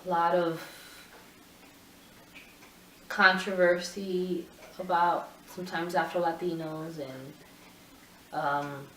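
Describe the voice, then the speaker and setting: A middle-aged woman from Puerto Rico, a photographer answering interview questions in a thoughtful, halting way with many restarts. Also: low voice, a bit bright, slight background noise. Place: indoor room with her camera collection. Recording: roomy sound, mic at a distance.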